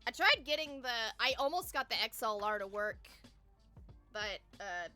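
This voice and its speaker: Girl streamer chatting to her viewers, real bright voice, says y'all, music playing behind. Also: on the high side, animated, unhurried. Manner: informal, addresses viewers as y'all. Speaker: live game streamer. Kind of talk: casual livestream chat to viewers.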